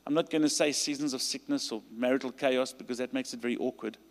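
A man with a South African accent preaching a sermon and leading a call to stand, his delivery rising to emphatic peaks and dropping to quiet pleading prayer. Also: medium pitch, steady tone, fast. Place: large church hall. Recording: long hall reverb.

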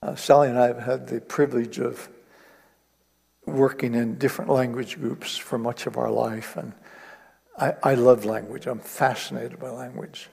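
Gravelly man preaching in a hall. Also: medium pitch, flat delivery, moderate pace, darkish tone. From East Africa. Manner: earnest, builds to emphatic repetition. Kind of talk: sermon. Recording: long reverb of a hall.

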